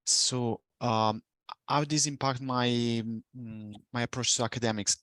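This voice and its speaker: A man with an Italian accent speaks in a low, level voice, pausing often to find his words. He is a graduate student answering questions on a webinar video call, and the sound is close and dry. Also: fairly bright tone, no echo. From Italy. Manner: careful, searching for words with pauses. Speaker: current online graduate student.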